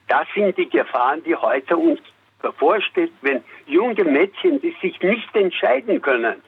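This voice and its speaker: A man's voice: on the high side, sing-song, breathy edge. Iranian-born man calling in by phone. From Iran. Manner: halting, emphatic, many fillers. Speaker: caller. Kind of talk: call-in opinion. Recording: tinny phone line.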